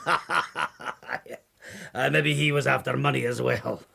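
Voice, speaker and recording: A man acting in a radio drama as a rough, sardonic whaling harpooner, gravelly and husky, with blunt sailor's talk. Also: medium pitch, steady tone, slow pace, bright, forceful tone, heavily acted. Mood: defiant, wry.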